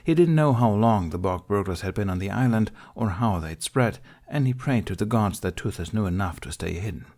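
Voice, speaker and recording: A man reading an adventure story aloud as an expressive audiobook narrator. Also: fairly low pitch, fairly quick, hardly any pauses.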